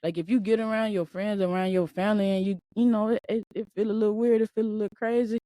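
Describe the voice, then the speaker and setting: A woman's voice: medium pitch, fairly even intonation, fast talker, mellow, no room sound. A woman in her late twenties hosting a solo podcast, talking casually and emphatically with lots of repetition, like a motivational pep talk. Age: 20s. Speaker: podcast host.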